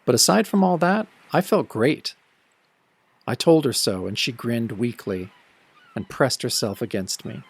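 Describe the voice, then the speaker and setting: A man's voice: mid-range voice, a little slow, slightly rough. An actor playing a world-weary young man cursed as a werewolf, narrating his own story in old radio horror style, brooding and sardonic. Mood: wry, grim. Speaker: first-person narrator of an audio drama.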